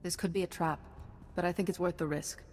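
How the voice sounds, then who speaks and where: A disciplined Jedi commander from a game cutscene, played by a woman, speaking steadily and calmly in a slightly low voice. A long reverb and faint hiss hang behind her. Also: fairly quick, natural voice. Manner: composed, steady, principled. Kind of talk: video game cutscene acting.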